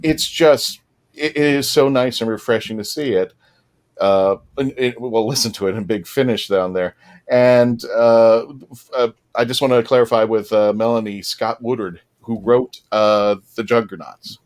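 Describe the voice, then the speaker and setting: A man hosting a casual panel discussion, talking earnestly and haltingly, rephrasing as he goes. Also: mid-range voice, a little mellow. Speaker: podcast host.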